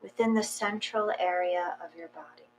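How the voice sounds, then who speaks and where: A woman reading a guided meditation aloud in a slow, calm, measured way with long pauses. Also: on the low side, rough recording. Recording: faint mains hum.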